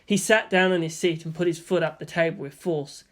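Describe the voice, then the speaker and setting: A man's voice: fairly high pitch, steady tone, brisk. Man reading a story aloud. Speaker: audiobook narrator. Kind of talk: scripted story narration.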